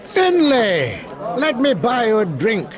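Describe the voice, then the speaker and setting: A cheeky Scottish man of the world in his late fifties for a radio drama. He is hearty and dismissive, with a high voice that swoops dramatically. People can be heard behind him. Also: wildly swooping pitch, slow pace, crowd noise, full character voice. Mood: hearty, persuasive.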